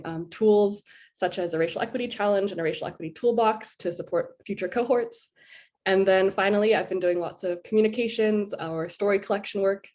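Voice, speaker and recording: A young woman with a head cold, sounding a little sniffly, giving a prepared presentation on her service term in an earnest, measured way with occasional ums. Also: medium pitch, a little mellow.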